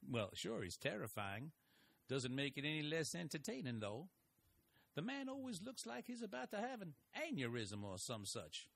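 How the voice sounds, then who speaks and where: A man's voice: on the high side, sing-song, moderate pace, vocal fry, fairly bright tone, heavily acted. An actor playing a folksy, wry older male investigator who narrates his story. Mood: teasing. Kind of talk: audio drama narration and acting.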